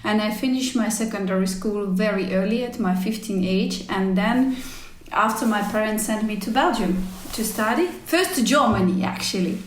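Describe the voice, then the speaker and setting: A woman, telling her story as an interview guest in halting, emphatic English. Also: mid-range voice. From former Soviet Union. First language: not English. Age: 40s. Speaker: podcast guest, cycling team executive. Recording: roomy, echoey sound.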